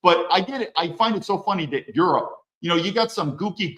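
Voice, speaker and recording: A man's voice: on the high side, fairly bright tone, echoey room, low-quality recording. A man hosting a solo podcast, talking bluntly and emphatically and repeating points for stress. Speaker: podcast host. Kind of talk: opinionated solo monologue.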